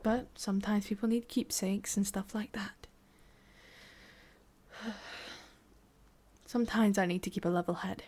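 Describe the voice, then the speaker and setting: Woman acting a slow, breathy, sadistic English lady boss. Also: medium pitch, steady tone, darkish tone, close to an everyday voice. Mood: condescending, controlled. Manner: breathy murmurs, sighs and drawn-out pauses. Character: cruel, condescending, sadistic female boss. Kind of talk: ASMR roleplay acting.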